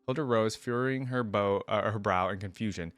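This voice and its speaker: A man's voice: lowish voice, a little fast, fairly bright tone, dry recording. Man reading and reacting, very dry sound. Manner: conversational, frequent asides and rhetorical questions. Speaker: reaction video host. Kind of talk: reading a fantasy story aloud with casual commentary.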